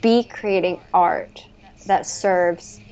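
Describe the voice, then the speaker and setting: A woman's voice: fairly low pitch, drawn-out words, a little mellow, rough recording. A young adult woman talking earnestly in a casual conversation and filling her speech with "like". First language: English. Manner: earnest, frequent "like" fillers.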